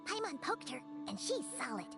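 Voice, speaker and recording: Tiny nosy game sidekick creature, super high squeaky voice that jumps all over, chatters about herself in third person. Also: female voice, big pitch swings, moderate pace, gravelly, heavily acted. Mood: eager. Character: tiny floating female companion creature, chirpy and nosy. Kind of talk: video game cutscene voice acting. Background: loud game music.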